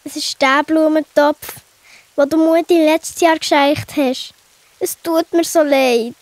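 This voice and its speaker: Casting: woman as impulsive little girl, very high voice, close dry sound, children's audio drama. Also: steady tone, slow pace, dry recording. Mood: guilty. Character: Lila, impulsive young girl child character. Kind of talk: children's audio play acting.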